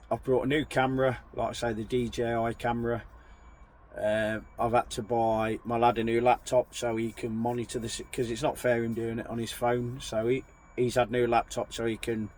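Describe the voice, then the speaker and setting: A man with a croaky voice from a chest infection, chatting casually to camera as a truck driver vlogger, rambling and apologetic. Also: medium pitch.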